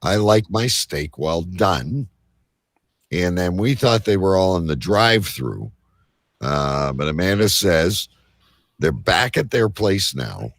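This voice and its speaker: Male host, low register with lots of movement, loose rambling live-show banter, close dry sound. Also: lively intonation, slow pace, dry recording. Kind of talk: casual live show chat.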